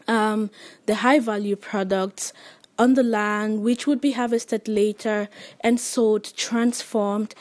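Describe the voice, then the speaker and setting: Mellow, higher-pitched Cameroonian woman. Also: no room sound. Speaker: interview guest, NGO worker. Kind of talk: explanatory interview talk.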